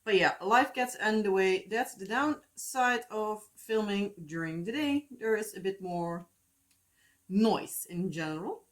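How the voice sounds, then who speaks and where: A woman's voice: mid-range voice, expressive, unhurried. A woman in her thirties with a Dutch accent, chatting casually and rambling with lots of fillers and asides as a crafting vlogger, recorded on a phone at home. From Netherlands.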